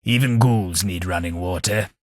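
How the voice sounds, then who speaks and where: An English actor voicing a posh, sardonic ghoul gentleman companion in a video game, with a plummy, dry delivery. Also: low-pitched, normal speed, no room sound, natural voice. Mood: wry. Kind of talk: game voice lines.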